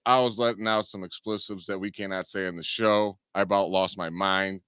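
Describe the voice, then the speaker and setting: A tired man co-hosts a sports talk show, excitable and full of slangy jokes, his voice close to giving out. His voice is low but smooth and bright. The sound is dry and close. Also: a little fast, no echo. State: tired, voice nearly gone.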